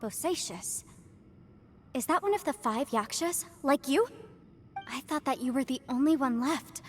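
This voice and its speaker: A polite young female video game character. Her voice sits a little high and she speaks in an earnest, courteous, inquiring way, with soft music behind. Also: lively intonation, a little slow. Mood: curious, concerned. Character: polite, earnest young woman with adept blood.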